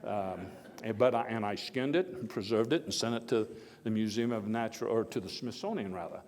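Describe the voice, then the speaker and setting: A man playing Theodore Roosevelt as a hearty, folksy elder statesman, giving a halting, oratorical first-person speech of reminiscence. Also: lowish voice, darkish tone, natural voice. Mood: wry, folksy. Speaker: historical reenactor giving a speech. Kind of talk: first-person dramatized historical speech, storytelling. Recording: echoey hall with long reverb.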